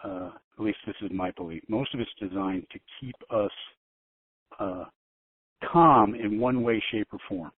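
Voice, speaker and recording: Guy commenting on a call, lowish voice, stumbles n repeats, tinny phone audio. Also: slightly rough, no room sound. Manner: halting, repeats phrases. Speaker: call panelist. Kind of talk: informal commentary on conference call. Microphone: telephone. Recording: narrowband tinny phone line.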